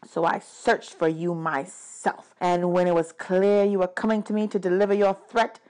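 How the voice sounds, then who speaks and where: A woman's voice: on the low side, level tone, mellow, natural voice. A woman voicing an angry, aggrieved male god of the underworld in a storybook reading, imperious and emphatic. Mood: bitter threatening. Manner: imperious, ranting, emphatic drawn-out words.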